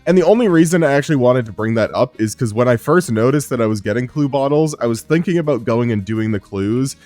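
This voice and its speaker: Male gaming host, relaxed rambling commentary, slightly sick, mid pitch, faint music underneath. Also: no echo. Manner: relaxed, rambling, frequent "uh" fillers. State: sick, strained low voice. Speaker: gaming YouTuber. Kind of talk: casual gameplay commentary.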